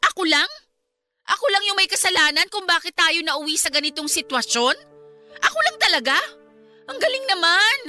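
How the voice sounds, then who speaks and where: Hurt, suspicious young Filipino wife, radio serial; high, sharp voice over music. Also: female voice, lively intonation, moderate pace, pauses often, heavily acted. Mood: hurt, angry. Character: hurt, suspicious young wife confronting her cheating husband. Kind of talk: Filipino radio drama acting.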